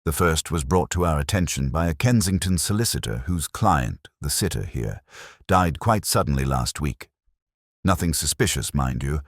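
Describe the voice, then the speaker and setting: Male narrator playing blunt, sceptical police inspector: very low, formal, official, cautious; storybook detective tale. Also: expressive, moderate pace, no echo, clean studio sound. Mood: official, factual. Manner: formal, cautious, matter-of-fact. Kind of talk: audiobook detective story dialogue.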